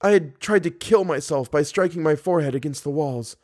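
A man's voice: a little high, a little fast, darkish tone, pitched above the performer's own voice, natural voice. A man voicing a frightened captive young woman in an audiobook, breathless, urgent and pleading. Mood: anguished.

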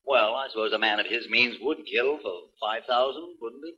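A man's voice: medium pitch, normal speed, bright, forceful tone, slight background noise, close to an everyday voice. A man playing a detective's mild, curious confidant in a 1940s radio drama. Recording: muffled, dull 1940s radio recording.

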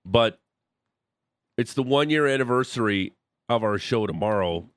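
Male radio host, Ontario accent, low and chatty, close dry studio mic. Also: expressive, measured pace, clear, resonant tone, no echo. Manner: chatty, self-mocking. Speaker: radio sports show host. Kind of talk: casual radio banter.

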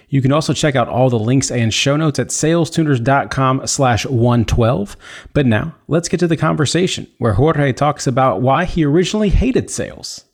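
A man hosting a sales podcast, introducing a guest and chatting in a casual, conversational way. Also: mid-range voice. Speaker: podcast host.

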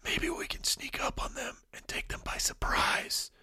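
A man's voice: measured pace, pushed and bright, no echo, whispered. A man voicing a brave young male adventurer in a children's story, earnest and urgent. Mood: secretive, urgent.

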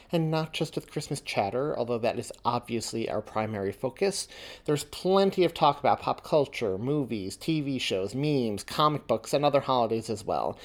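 A man hosting a podcast, giving chatty announcements and plugs to listeners. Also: medium pitch. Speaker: podcast host.

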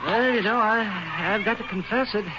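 A man's voice: high voice, moderate pace, natural voice. An American man acting a nervous, fussy small-town school principal in a 1950s radio drama, hesitant and stammering as he talks. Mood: uneasy, fretful. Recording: noisy, muffled old radio broadcast recording.

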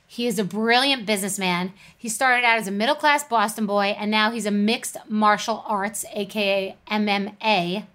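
A woman's voice: medium pitch, a bit bright. A middle-aged woman hosting an interview, introducing her guest and chatting eagerly with him. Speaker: podcast host. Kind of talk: casual interview.

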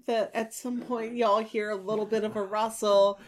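A woman co-hosting a podcast in casual chat, excitable and quick to laugh. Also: medium pitch, poor audio. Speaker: podcast co-host.

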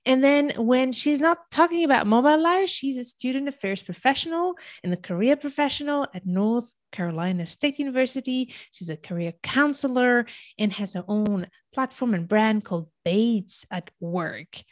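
A woman's voice: fairly high pitch, lively intonation, moderate pace, no echo. A woman hosting a podcast interview, enthusiastic and effusive as she introduces her guest. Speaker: podcast host and business coach.

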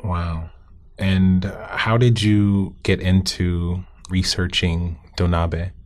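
A man hosting a podcast interview, asking questions in a polite, measured way. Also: low voice, level tone. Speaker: podcast host.

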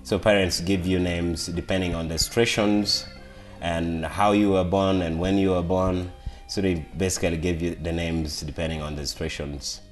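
Deep voiced ugandan guy in his 30s, soft spoken, laughs a lot. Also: steady tone, moderate pace, soft background music. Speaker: interview guest. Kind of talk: casual conversation.

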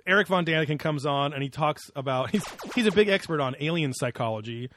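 Chatty man, slightly higher voice. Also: lively intonation, fast, fairly bright tone, slight background noise. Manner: rambling, laughs often, swears casually. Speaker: podcast co-host. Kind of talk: casual chat.

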